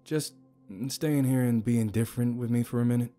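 A man's voice: mid-range voice, fairly even intonation, brisk, mellow, soft background music, pitched above the performer's own voice, lightly acted. A man playing a wry, candid young man, the casual and sardonic hero of an audio story. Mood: weary.

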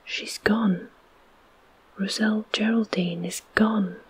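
A heartbroken little girl, whispered by the storyteller. Her lines come slightly higher than the narration, all breath and slow. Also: fairly even intonation, fairly bright tone, breathy edge, heavily acted, poor audio. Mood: tearful.

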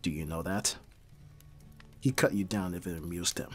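A man acting a brooding mafia boss, hard but secretly caring, in a dramatic audio roleplay with terse, measured delivery. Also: deep voice, soft background music, lightly acted. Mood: low, gentle. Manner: terse, profane, sighing, measured.

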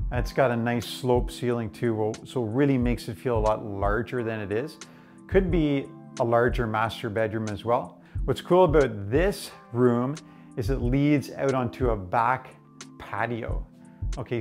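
A man in his forties giving an enthusiastic, informal walk-through presentation, explaining things as he goes. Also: medium pitch. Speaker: presenter, house tour guide. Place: furnished house interiors and outdoor deck.

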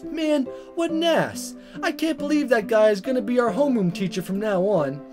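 A man's voice: extremely high pitch, lively intonation, normal speed, pitched above the performer's own voice. A man voicing an indignant, jealous teenage schoolboy in a visual novel, ranting in exasperation. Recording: guitar music behind.

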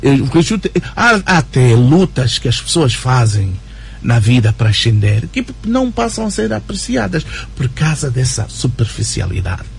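Gravelly man in a radio interview. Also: mid-range voice, normal speed. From Angola. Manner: animated, thinks aloud with many fillers and tag questions. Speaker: interview guest, writer and journalist. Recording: radio broadcast recording.

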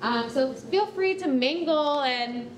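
A woman's voice: sits high, animated, slow pace. A young woman hosting a community event, speaking informally to an audience. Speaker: project presenter and event host. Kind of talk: informal spoken remarks to an audience. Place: large bare concrete rehearsal hall. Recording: echoey room, clear background noise.

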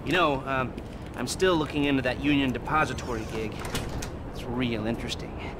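An actor playing a nervy, sarcastic middle-aged heist planner in a video game, explaining in a halting, excitable way. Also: male voice, mid-range voice, background noise, close to an everyday voice. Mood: businesslike, wry. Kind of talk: video game cutscene acting.

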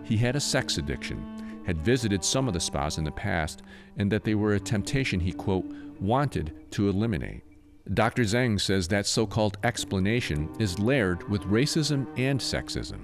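A man's voice: fairly low pitch, lively intonation, normal speed, over music. A man with an American accent reading scripted news narration as a reporter.

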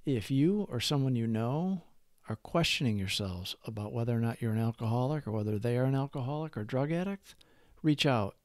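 A man's voice: mid-range voice, expressive, brisk, darkish tone. A man hosting a recovery channel, reading prayers and daily reflections as scripted devotional narration.

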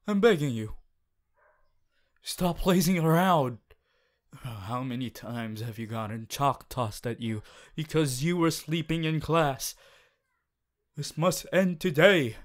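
A man's voice: fairly high pitch, slow pace, long pauses. A man voicing a strict, earnest teenage class president, formal and preachy, in an audio roleplay. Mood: earnest pleading. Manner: formal, preachy, exasperated, stiff phrasing. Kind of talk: ASMR character audio roleplay monologue.

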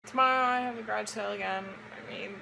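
A woman's voice: medium pitch, steady tone, fast talker, creaky. A woman in her twenties chatting candidly into a phone held at arm's length, thinking aloud as she talks about her plans. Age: 20s. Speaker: vlogger. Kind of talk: casual selfie vlog chat. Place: outdoors on a windy lakeside pier. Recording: loud wind noise on the phone mic.

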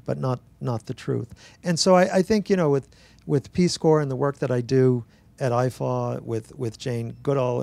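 An older man, an author at a bookstore talk, telling stories to an audience on a handheld mic, animated and acting out dialogue with frequent restarts. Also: medium pitch, gravelly, no room sound. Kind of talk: relaxed storytelling to an audience. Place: bookstore event space.

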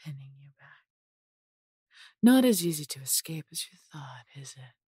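A woman voicing a possessive, haughty yet doting female dragon in an intimate audio roleplay, condescending and theatrical, breathy and husky, often dropping to a whisper. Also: deep voice, very animated, moderate pace, a little mellow, no room sound, studio quality, heavily acted. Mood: menacing, scornful. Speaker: voice actor.

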